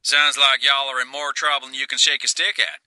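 Twangy Texan colonel, sharp and forceful. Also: male voice, mid-range voice, level tone, a little fast, clear, resonant tone, no room sound, voice pushed up. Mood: dry, steady. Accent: strong Texan. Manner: stern, humorless, formal. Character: stern, humorless male American Marine colonel.

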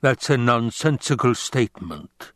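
Fat scheming baron, rumbling growly basso, pompous, menacing, savoring each word, dry close recording. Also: male voice, animated, a bit bright, no room sound. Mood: dismissive. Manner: grandiose, savoring drawn-out delivery.

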